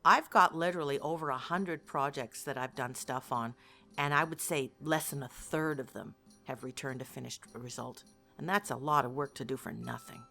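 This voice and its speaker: A woman's voice: very deep voice, normal speed, vocal fry, sharp tone, faint music behind. A woman speaking candidly and expressively as an interviewed voice actor in a casual online call.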